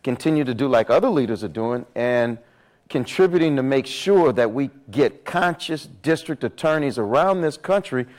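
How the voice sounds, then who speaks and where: A loud-voiced man speaking on a live event stage at mid pitch. He presses his points emphatically and repeats words as he works toward each thought. His delivery is impassioned panel talk. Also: animated, moderate pace. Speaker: panelist on justice reform.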